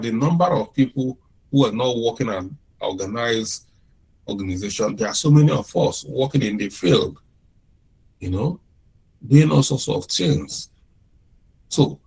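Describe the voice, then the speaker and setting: Slow-talking Nigerian man lecturing. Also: medium pitch, slightly rough, rough recording. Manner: unhurried, repeats and restarts phrases. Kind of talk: informal classroom lecture.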